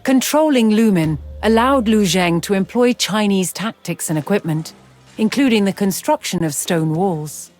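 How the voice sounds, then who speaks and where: A woman giving measured, formal scripted narration in the style of a historical documentary for a game. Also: medium pitch, expressive, darkish tone. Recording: music behind the voice. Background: background music.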